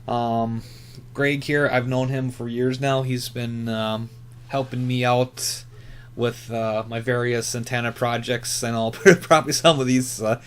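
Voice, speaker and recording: A man chatting casually and unscripted as a hobby YouTuber, laid-back and rambling, with halting, filler-heavy phrasing. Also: mid-range voice, little pitch movement, clear, resonant tone. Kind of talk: casual unscripted chat, reviewing channels.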